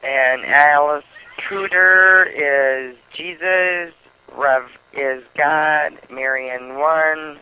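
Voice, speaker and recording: A man on a phone call, answering in a plain, deliberate, unruffled manner. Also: on the high side, pushed and bright, clear, resonant tone. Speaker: call taker, prank call target. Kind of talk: phone conversation.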